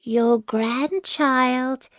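Woman as a storybook wolf faking a sweet high voice. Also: very slow, fairly bright tone, dry recording, clean studio sound, full character voice. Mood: falsely sweet.

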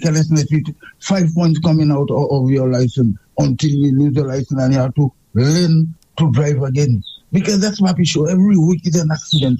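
Saint lucian guy calling in, ranting and repeating himself, kinda high mellow voice, dull lo-fi sound. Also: a little hiss or hum. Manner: emphatic, repetitive, complaining. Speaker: radio caller. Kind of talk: call-in radio chat.

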